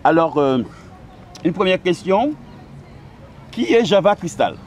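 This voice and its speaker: A man's voice: high voice, lively intonation, a little mellow. A man hosting an outdoor interview in a city park, introducing his guest and asking questions with frequent hesitant fillers. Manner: friendly, halting with frequent "euh" fillers. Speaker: interviewer and host. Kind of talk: casual interview. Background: faint outdoor ambience with birds and traffic.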